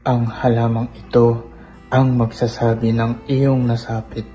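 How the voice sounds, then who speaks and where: A noble and kindly king of an old epic, played by a man in a radio play. The voice is dark and mellow, with solemn, earnest delivery over faint music. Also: medium pitch, flat delivery, unhurried, lo-fi sound, natural voice. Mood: solemn pleading. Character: noble, kind king grieving for his brother. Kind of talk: radio drama acting.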